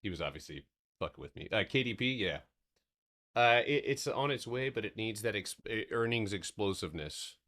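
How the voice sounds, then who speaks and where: Man, very low voice, trading streamer, casual and rambling, cusses offhand, steady when explaining charts. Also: moderate pace. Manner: loose, rambling, swears casually. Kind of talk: casual livestream market recap.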